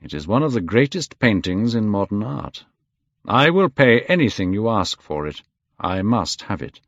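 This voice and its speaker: A man's voice: on the low side, very animated, moderate pace, no echo. A man voicing a languid, cynical aristocrat with a dry drawl. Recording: slightly muffled dry recording.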